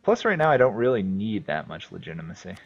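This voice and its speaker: A man from New England with a New England accent, casually commenting on his strategy game as he plays and thinking out loud with many fillers. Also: fairly low pitch, very animated, quick pace, creaky, breathy edge, muffled recording. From New England, USA. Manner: thinks aloud, rambling with fillers. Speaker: game streamer. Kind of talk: casual commentary while playing.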